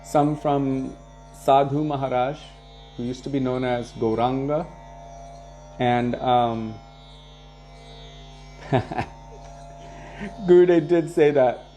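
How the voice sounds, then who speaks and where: A man leading a devotional program, casually answering viewer questions and sharing anecdotes in a relaxed way, chuckling now and then. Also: medium pitch, takes it slowly, long pauses, soft background music. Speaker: kirtan leader and devotional teacher.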